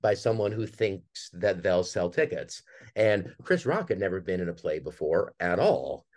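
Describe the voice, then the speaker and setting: A man talking reflectively as a guest in a conversational interview, pausing thoughtfully between short phrases. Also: low voice, lively intonation, a little mellow. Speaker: theater director and producer, podcast guest.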